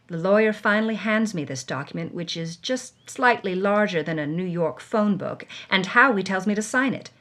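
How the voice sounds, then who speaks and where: A woman's voice: medium pitch, expressive, moderate pace, few pauses, fairly bright tone, close to an everyday voice. An actress playing a hard-bitten, world-weary woman reading her journal with wry, dramatic emphasis, as first-person audio drama narration. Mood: indignant, tense.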